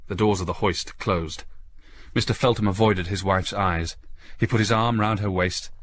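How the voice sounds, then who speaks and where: A man's voice: lowish voice, steady tone, moderate pace, a bit bright, a little hiss or hum. A man reading a scripted story as an audiobook narrator, in a dramatic storytelling style.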